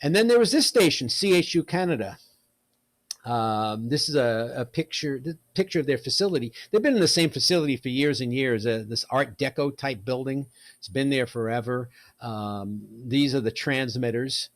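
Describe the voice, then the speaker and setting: A man's voice: medium pitch, expressive, moderate pace, dry recording. Chatty older man, close dry sound. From New York City, United States. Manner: chatty, colloquial, storytelling. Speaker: presenter, radio hobbyist. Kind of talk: casual slide talk.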